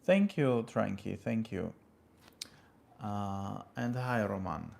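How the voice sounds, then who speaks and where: A man's voice: on the low side, lively intonation, slow pace, vocal fry, darkish tone. Man from Armenia chatting on a livestream. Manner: chatty, thanks viewers by name, with fillers and asides. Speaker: livestream host. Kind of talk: casual livestream talk reading viewer comments. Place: home in California.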